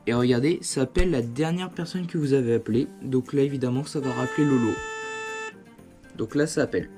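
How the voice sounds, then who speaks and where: A man with a mid-pitched voice walks through a product in a casual, hands-on way. He sounds informal and conversational, explaining step by step as a video host would, recorded indoors with music in the background. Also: fairly quick. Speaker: host of a motorcycle gear review channel. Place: indoors at home.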